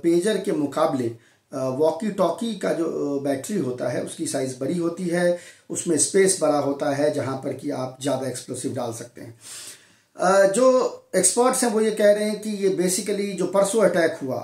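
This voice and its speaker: An Indian man giving unscripted news analysis in a halting, explanatory way, posing questions and answering them himself. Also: a little high, lively intonation, darkish tone. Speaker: news commentator. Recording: echoey room.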